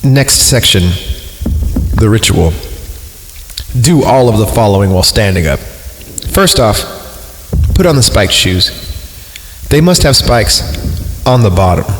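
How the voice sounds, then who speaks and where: A man's voice: fairly low pitch, lively intonation, slow, pauses often, slight background noise, poor audio. A man hosting a podcast, casually reading horror stories aloud with rambling, sardonic, profane asides. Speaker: podcast host. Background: music.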